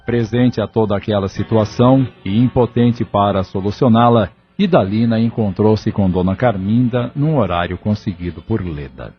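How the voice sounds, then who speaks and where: A man narrating an audio drama in a solemn, measured reading with a Brazilian accent. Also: on the low side, soft background music. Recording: dull lo-fi audio drama recording.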